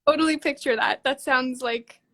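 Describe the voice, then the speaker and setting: Woman, high voice, live-stream host, easygoing, short replies, video call mic. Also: steady tone, moderate pace, sharp tone. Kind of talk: casual live-stream chat.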